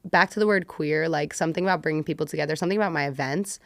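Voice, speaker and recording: A woman's voice: sits low, racing, no room sound. A woman speaking casually as a podcast guest, telling stories in an informal, slangy way. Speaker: podcast guest, community builder. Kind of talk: casual conversational interview.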